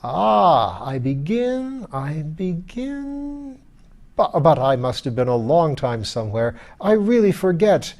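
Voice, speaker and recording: A man voicing a pompous, irritable, hard-drinking skeleton lord in an audiobook, rough and blustering in old-fashioned aristocratic speech. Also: a little high, sing-song, slow pace, darkish tone, pitched above the performer's own voice, full character voice. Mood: querulous. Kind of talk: audiobook character voice.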